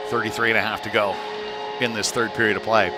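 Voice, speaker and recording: A man giving halting, conversational live play-by-play of a youth hockey game in a folksy manner. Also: fairly low pitch, level tone, over loud music. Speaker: play-by-play commentator. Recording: noisy live rink stream.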